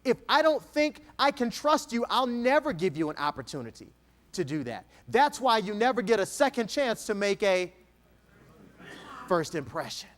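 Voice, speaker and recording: Man from Texas, motivational speaker, higher voice, swooping expressive pitch, jokes with audience. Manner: animated, dramatic pauses, slow emphatic dictation. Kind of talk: keynote speech to an audience.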